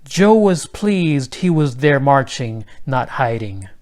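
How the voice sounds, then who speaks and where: A man narrating a children's rhyming storybook aloud in a measured delivery. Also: medium pitch, very animated, darkish tone.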